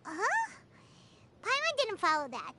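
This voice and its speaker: A woman playing a tiny, excitable, childlike floating companion in animated video game dialogue, squeaky and easily indignant. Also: slow, heavily acted. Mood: puzzled. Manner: speaks of herself in third person, excitable.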